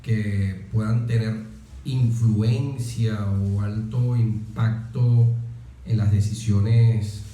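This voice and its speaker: A man, an interview guest explaining politics deliberately, with emphatic lists and rhetorical questions. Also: lowish voice, fairly even intonation, dark tone. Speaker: interview guest, military officer. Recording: very echoey room.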